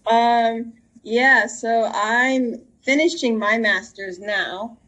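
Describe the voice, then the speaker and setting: Young woman grad student, kinda high voice, slow, jokes and laughs a lot. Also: bright, forceful tone, low-quality recording. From Denver, Colorado, United States. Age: young adult. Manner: joking, laughs while talking. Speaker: graduate student and podcast guest. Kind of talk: casual conversation.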